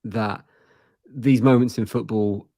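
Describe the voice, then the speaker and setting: A man with a southern English accent, softened by living in the north, talks football as a guest. He is articulate and self-deprecating, with a slightly low voice. The recording is dry, with no room sound. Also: steady tone, slow, no echo. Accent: southern English, softened by years in Manchester. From southern England. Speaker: guest, rival fan. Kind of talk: casual football chat.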